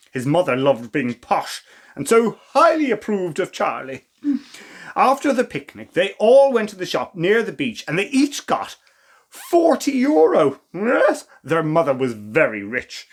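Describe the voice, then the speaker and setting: A man reading a children's story as a storytime host, with expressive, theatrical emphasis and asides to the listeners. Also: high voice. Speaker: storytime reader.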